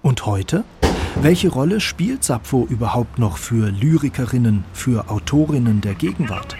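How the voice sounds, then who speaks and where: A man's voice: medium pitch, big pitch swings, moderate pace, background noise. A man reading scripted narration as the narrator of a radio documentary.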